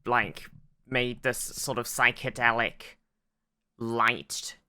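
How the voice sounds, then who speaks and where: Male co-host, strikingly bright and smooth, informal and excitable, recapping TV in loose conversational phrases. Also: medium pitch, slow. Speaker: podcast co-host. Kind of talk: casual TV episode recap chat.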